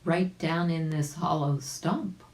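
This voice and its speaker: A sly, boastful storybook bear, played by a woman reader, coaxing its prey in a slow, low-pitched voice. The delivery swings up and down, and the room rings a little around it. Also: steady tone, darkish tone, echoey room. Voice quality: harsh when revealed.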